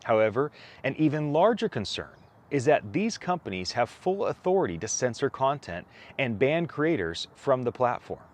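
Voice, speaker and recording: Man reading aloud with lively pitch swings. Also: normal speed, hardly any pauses. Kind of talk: read-aloud scripted narration.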